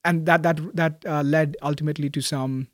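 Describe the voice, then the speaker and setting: A young man, a graduating student, answering interview questions in a thoughtful, soft-spoken way with frequent fillers and restarts. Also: fairly high pitch, steady tone, normal speed, dry recording, studio quality. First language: Malayalam. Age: young adult.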